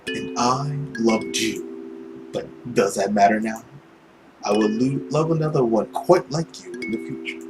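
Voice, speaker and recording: A man voicing a brooding, cynical, tormented man in a visual novel, slow, hushed and solemn. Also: on the low side, over music, lightly acted, rough recording. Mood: cold, bitter. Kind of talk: dramatic visual novel reading.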